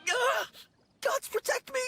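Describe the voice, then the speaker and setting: A man's voice: extremely high pitch, a little slow, creaky, pushed and bright, full character voice. A man playing a timid, polite young apprentice undertaker in an anime game, easily spooked. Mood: panicked. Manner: jittery, stammering, apologetic.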